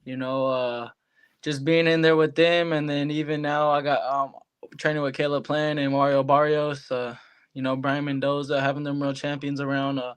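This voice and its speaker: A young American man speaks in a smooth, clean voice that sits a little high. His delivery stays quite level as he gives casual, colloquial interview answers as a boxing guest. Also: flat delivery, normal speed. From Hawaii, United States. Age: young adult. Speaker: professional boxer, guest.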